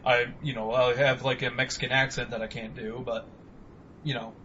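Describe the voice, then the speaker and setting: A man's voice: mid-range voice, flat delivery, normal speed, background noise. A young man co-hosting a podcast, rambling in casual, profane chat about video games. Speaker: podcast co-host. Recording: dull lo-fi home recording.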